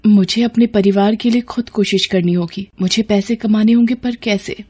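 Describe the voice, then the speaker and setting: A woman's voice: mid-range voice, fairly even intonation, normal speed, mellow, slightly rough, close to an everyday voice. A woman voicing a worried, dutiful young wife and mother in a cartoon story, earnest and respectful. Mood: troubled.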